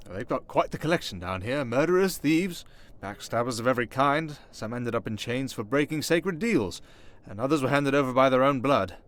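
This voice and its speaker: A man's voice: medium pitch, fairly bright tone, close to an everyday voice. A man doing a vain, sarcastic vampire noble. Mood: musing. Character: sarcastic, smooth-talking male vampire noble. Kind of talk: ASMR roleplay.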